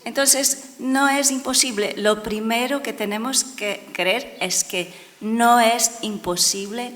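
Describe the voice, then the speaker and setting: Brazilian-accented woman, conference speaker, slow measured delivery, earnest and emphatic, long hall reverb. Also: mid-range voice, fairly bright tone, a little hiss or hum. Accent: Brazilian Portuguese. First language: Portuguese. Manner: earnest and emphatic, repeats words for stress. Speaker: education speaker and consultant. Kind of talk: conference talk.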